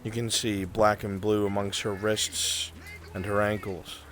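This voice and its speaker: A man's voice: on the low side, little pitch movement, a little slow, fairly bright tone. A man narrating an improvised fantasy roleplay as game master. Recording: faint rain noise behind.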